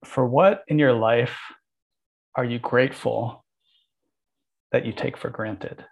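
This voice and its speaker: A man hosting a podcast interview, gentle and appreciative as he asks questions and thanks his guest. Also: medium pitch, slow pace, vocal fry. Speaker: podcast host. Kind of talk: interview conversation. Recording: dull lo-fi sound.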